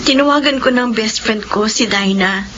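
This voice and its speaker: An actress plays a bashful, grateful older sister in a radio drama. Her voice is high and emotive, with an earnest, hesitant delivery. The audio is dull, with clear background noise. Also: normal speed, a bit bright, lightly acted. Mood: subdued, thankful. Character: grateful, bashful older sister rebuilding after losing parents. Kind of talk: Tagalog radio drama acting.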